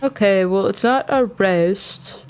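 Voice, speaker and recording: Female game streamer, medium pitch, casual and exasperated live reactions. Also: slow, a little mellow, clean tone, faint music behind. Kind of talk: casual live gaming commentary.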